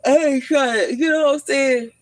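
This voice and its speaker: A woman in her thirties on a casual livestream, telling stories in an animated, slangy way and laughing often. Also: sits high, moderate pace. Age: 30s. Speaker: livestream host.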